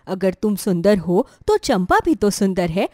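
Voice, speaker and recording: Caring mother played by a woman, middle-aged, pitched down, dry recording, earnest advice in a moral story. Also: very animated, a little slow, darkish tone, no echo. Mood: reproving.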